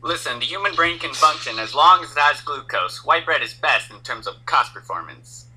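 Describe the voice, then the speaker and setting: Man acting a lively, bright-voiced dramatic gamer brother. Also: a little high, normal speed, husky, exaggerated voice, rough recording. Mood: teasing, lazy. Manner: theatrical, swinging from weary drawl to yelling. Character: lazy, dramatic young gamer big brother. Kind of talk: anime fandub script reading.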